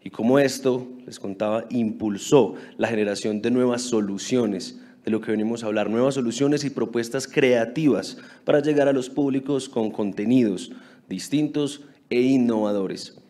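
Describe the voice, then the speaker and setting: A man's voice: medium pitch, moderate pace, mellow. A man hosting a conference session, reading a formal, prepared introduction of the next speaker. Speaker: event host, moderator. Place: planetarium auditorium.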